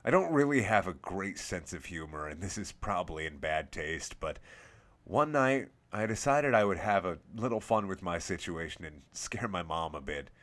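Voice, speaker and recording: Man, low-pitched voice, horror story narrator, series host, first-person scripted reading. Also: lively intonation, moderate pace, fairly bright tone.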